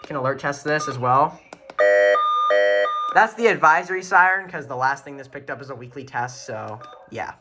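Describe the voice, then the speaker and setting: A man's voice: medium pitch, animated, normal speed. A man giving a casual show-and-tell tour of a radio collection as an enthusiastic hobbyist.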